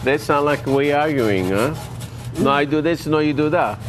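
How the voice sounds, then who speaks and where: A man's voice: a little high, moderate pace. A man hosting a cooking show, chatting playfully with guests and speaking English as a second language. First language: not English. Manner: playful, hesitant with fillers. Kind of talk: casual chat and cooking demo.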